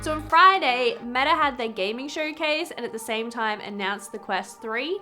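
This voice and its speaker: A woman's voice: sits high, fairly bright tone. A young Australian woman with a stuffy nose from a cold, giving an upbeat, chatty tech review to camera. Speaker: VR tech YouTuber.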